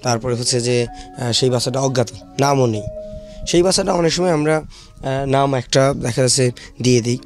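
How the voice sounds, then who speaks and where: A Bangladeshi man explains procedures as an interview guest. He has a medium-pitched voice and pauses as he goes, with light music in the background. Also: darkish tone. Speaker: shelter home staff, interview guest.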